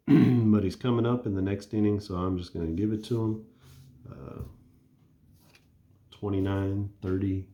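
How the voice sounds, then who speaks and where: A man calmly narrating a tabletop baseball replay play by play, thinking aloud as he rolls the dice. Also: sits low, reverberant. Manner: relaxed and conversational, thinking aloud while rolling.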